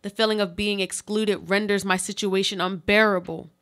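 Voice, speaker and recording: A woman playing a desperate, pleading earthquake victim buried alive, in a deliberate, literary radio play monologue. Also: mid-range voice, steady tone, a bit bright, natural voice. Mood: anguished pleading. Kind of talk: live radio play monologue, read from a script.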